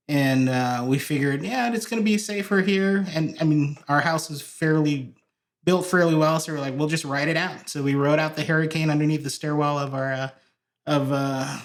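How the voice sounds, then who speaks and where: A man from Hawaii, a guest on a podcast, telling stories in a rambling way with many fillers and restarts. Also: medium pitch. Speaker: podcast guest, church video director. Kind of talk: casual interview chat.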